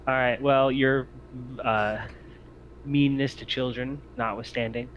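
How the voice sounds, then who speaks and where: A man's voice: mid-range voice, fairly even intonation, measured pace. An easygoing man narrating a tabletop roleplaying game as game master in a casual, conversational way. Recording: slightly dull audio, faint background noise.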